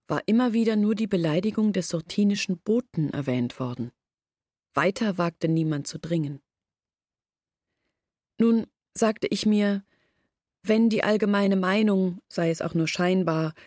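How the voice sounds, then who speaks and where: An actress playing an earnest village woman who explains and confesses in a measured literary radio drama monologue. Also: on the low side, darkish tone, no room sound, clean studio sound.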